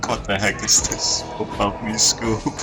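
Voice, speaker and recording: Deep-voiced monotone male streamer. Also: measured pace, over loud music. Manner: monotone, dry. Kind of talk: casual stream commentary, reading aloud. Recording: noisy home stream recording.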